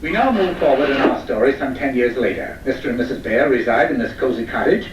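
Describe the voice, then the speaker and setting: A man's voice: medium pitch, fast, distant mic, natural voice. A man playing a droll, mock-formal storybook narrator in a comic cartoon. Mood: wry, mock-formal. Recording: muffled, noisy, roomy playback from a video.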